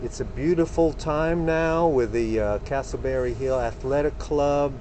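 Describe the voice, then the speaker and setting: Male comic monologue, very slow and halting, mock-sentimental, faint noise behind. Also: medium pitch, dark tone. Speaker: comedic storyteller.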